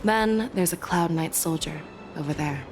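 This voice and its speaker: An actress playing a shrewd, measured woman general who reasons through clues, in a game cutscene. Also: fairly low pitch, music in the background, lightly acted. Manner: analytical, measured. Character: shrewd, composed woman military leader. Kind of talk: video game cutscene voice acting.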